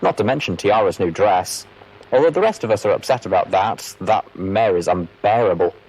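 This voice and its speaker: A man's voice: on the low side, expressive, moderate pace, darkish tone, slightly rough, low-quality recording. A man playing a resigned, chatty pony clerk reading out a log entry, dry and mildly grumbling.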